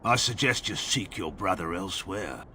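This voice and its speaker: An actor playing a stern, unwelcoming village guard in a fantasy game, curt and formal, disdainful of outsiders. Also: male voice, low-pitched, lively intonation, moderate pace, pushed and bright, slight background noise, full character voice. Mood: guarded, dismissive. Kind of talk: fantasy video game acting.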